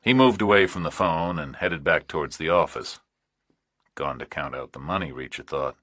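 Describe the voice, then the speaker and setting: A man's voice: rumbling low, moderate pace, a bit bright, husky, dry recording, studio quality. A man narrating a thriller audiobook in a scripted, storytelling style.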